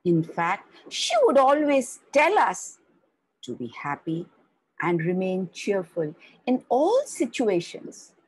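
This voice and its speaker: A woman storyteller reading a story aloud in a slow, expressive manner. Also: breathy edge, poor audio. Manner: slow, expressive reading with wide pitch swings. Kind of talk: storybook narration.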